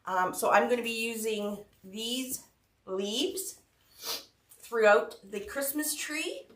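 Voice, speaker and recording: A woman's voice: on the high side, slow, fairly bright tone, far from the mic. A woman with a head cold hosting a live craft tutorial, chatty and greeting viewers by name. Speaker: craft shop host. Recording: roomy sound, mic set back.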